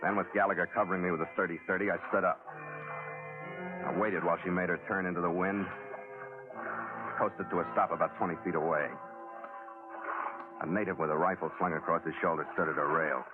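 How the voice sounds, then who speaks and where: A man's voice: low voice, fairly even intonation, brisk, pauses often, over music, natural voice. An American man playing a hard-boiled, brusque sea captain in a 1940s radio adventure, terse in speech and storytelling. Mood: grim narrating. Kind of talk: 1940s radio drama narration and acting. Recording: tinny old radio recording.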